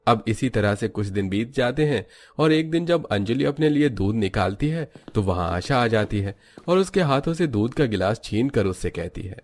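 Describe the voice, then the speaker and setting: A man's voice: mid-range voice, lively intonation, normal speed, hardly any pauses, darkish tone. A man narrating a scripted cartoon story as its storyteller.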